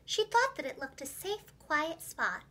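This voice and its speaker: Female children's storyteller: high, bright voice, expressive and clearly enunciated, scripted storybook narration. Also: unhurried. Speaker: preschool teacher and children's storyteller.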